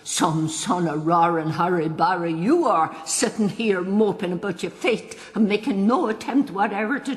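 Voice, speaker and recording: Husky, scolding old Irish mother. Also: medium pitch, heavily acted. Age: elderly. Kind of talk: period radio drama acting.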